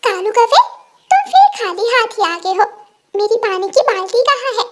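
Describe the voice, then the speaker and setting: Lady doing a super high pitched cartoon girl bird, hardworking farmer type, always scolding. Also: lively intonation, normal speed. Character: hardworking, scolding female bird who farms carrots.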